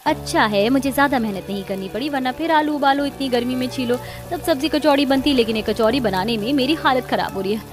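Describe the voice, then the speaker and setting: Cartoon middle bahu, acted by a woman: lazy, crafty, high-pitched, music behind. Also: quick pace, hardly any pauses, natural voice. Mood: self-satisfied, weary. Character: sly, lazy middle daughter-in-law, excuse-making.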